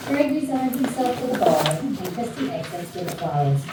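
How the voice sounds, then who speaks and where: A woman's voice: fairly high pitch, sing-song, normal speed. A woman reading stage directions as scripted narration. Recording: very echoey room, distant, faint noise.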